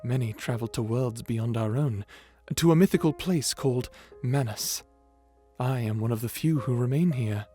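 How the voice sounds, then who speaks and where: A man's voice: medium pitch, moderate pace, warm tone, husky, faint music behind, lightly acted. A man voicing a shy, polite dragon butler in an ASMR roleplay, hesitant and deferential, with formal speech. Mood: courteous, gently explaining. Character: shy polite old dragon butler, male, eager servant.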